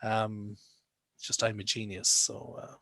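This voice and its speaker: A man's voice: on the low side, level tone, a little slow, a bit bright, no room sound. An Irish man teaching English in a chatty, joking way, wandering into asides during an online class. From Ireland. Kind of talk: casual online class lecture. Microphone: video call.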